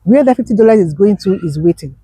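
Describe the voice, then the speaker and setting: A woman's voice: medium pitch, lively intonation, quick pace, mellow, husky, no room sound. A woman, a business owner, speaking as an interview guest and emphatically repeating her key points. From Nigeria. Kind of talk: conversational interview.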